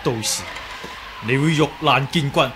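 An actor with a Hong Kong Cantonese accent playing a righteous, composed young martial-arts hero in a 1980s radio drama, speaking deliberately and accusingly. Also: male voice, mid-range voice, lightly acted. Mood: firm, controlled. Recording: faint hiss on old radio recording.

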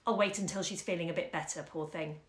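A woman's voice: fairly low pitch, steady tone, quick pace, lightly acted. Young husband, weary, dutiful, restless, woman narrator doing him, roomy recording. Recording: roomy echo.

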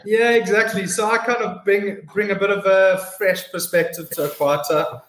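A man's voice: high voice, steady tone, moderate pace, fairly bright tone, a little hiss or hum. A man answering casually as an interview guest, often repeating words as he goes. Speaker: business development manager, interview guest. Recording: roomy, echoing sound.

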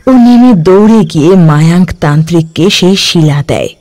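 A man narrating a cartoon folk tale in a steady storytelling voice. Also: high-pitched, moderate pace, dry recording.

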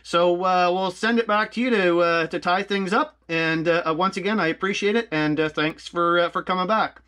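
A man's voice: high-pitched, normal speed, bright, forceful tone. A man talking casually as an amateur radio operator and video host. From Canada. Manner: spells out call signs in phonetic alphabet. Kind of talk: casual narration and ham radio chat.

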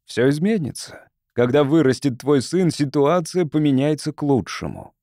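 Man as an earnest, mellow visiting scientist. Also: a little high, animated, normal speed, no room sound, clean studio sound, voice pushed up. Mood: reassuring, reasoning. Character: earnest, conciliatory male visiting sociologist from Earth.

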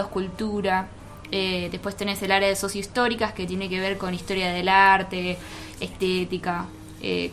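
A woman's voice: medium pitch, fairly bright tone. A woman with an Argentine accent giving an informal, explanatory account in a radio interview. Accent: Argentine Spanish. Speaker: guest, teaching practice coordinator.

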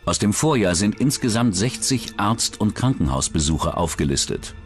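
Deep-voiced, animated male documentary narrator. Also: moderate pace, faint music behind. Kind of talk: scripted true-crime narration.

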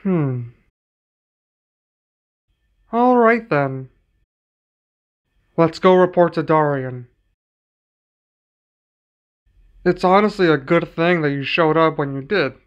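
A man's voice: fairly high pitch, lively intonation, slow pace, long pauses. A man playing a scheming villain lieutenant in an audio roleplay, polite and persuasive toward his master. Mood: casual scheming. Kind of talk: roleplay ASMR villain acting. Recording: slightly dull sound, music behind.

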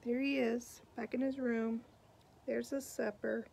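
Female storybook reader, slightly high voice, very slow delivery, expressive, for a young audience. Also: vocal fry, breathy edge. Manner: expressive, unhurried, pointing things out to children. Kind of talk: picture-book read-aloud for young children with asides about the pictures.

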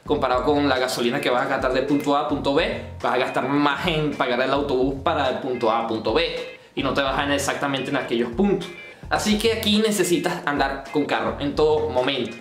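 A man's voice: mid-range voice, fairly quick, fairly bright tone, reverberant, soft background music. A young man in his twenties with a Caracas Venezuelan accent, vlogging casually to camera in his bedroom, rambling and restarting his sentences. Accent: Venezuelan Spanish, Caracas. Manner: rambling, restarts often, gestures while talking. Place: bedroom indoors.

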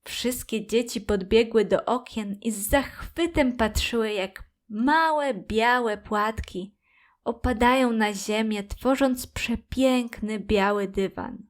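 Woman reading a kids bedtime style story, really slow and calm, fairly high gentle voice. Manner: calm, gentle, careful enunciation. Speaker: children's story narrator. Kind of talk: scripted storytelling for preschoolers.

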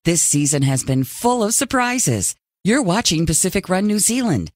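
A man's voice: sits high, big pitch swings, moderate pace, no echo, clean studio sound. A man playing an upbeat reality competition host in a video game, announcing with dramatic, tongue-in-cheek pomp. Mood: showy, wry. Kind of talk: scripted TV announcer narration.

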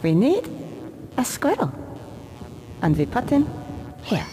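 A man playing an excitable, pedantic weasel scientist with a German accent in a video game. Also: extremely high pitch, slow pace, music in the background, heavily acted, rough recording. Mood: pedantic lecturing. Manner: pedantic, theatrical lecturing. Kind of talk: video game cutscene acting.

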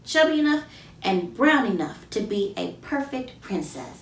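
A woman's voice: medium pitch, sing-song, a little slow. A woman voicing a reassuring, encouraging mother with lilting emphasis in a picture-book reading. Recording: roomy, distant mic, faint background noise.

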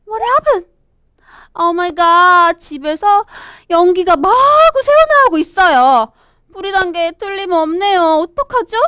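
A young woman presenting a children's storybook English lesson, reading aloud and explaining in an expressive, sing-song teacher's manner. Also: very high-pitched, takes it slowly, dry recording, clean studio sound. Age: young adult. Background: background music.

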